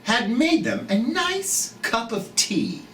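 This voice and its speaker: A storyteller of unclear gender hosting a children's storytime, theatrical and expressive, speaking gently and encouragingly straight to young viewers with drawn-out emphasis. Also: mid-range voice. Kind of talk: animated children's read-aloud and gentle chat to young viewers. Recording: roomy echo, distant mic, light noise.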